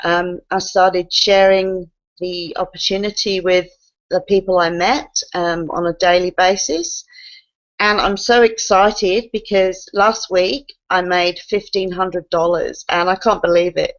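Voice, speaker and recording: A woman who talks as a guest on an online call, excited and quick to laugh. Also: lowish voice. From Australia. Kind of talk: casual excited testimony. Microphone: video call. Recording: lo-fi video call audio.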